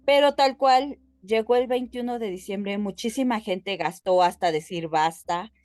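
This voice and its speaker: A woman's voice: mid-range voice, a little slow, a little mellow, no room sound. A woman co-hosting a podcast, explaining things casually and addressing listeners directly. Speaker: podcast co-host.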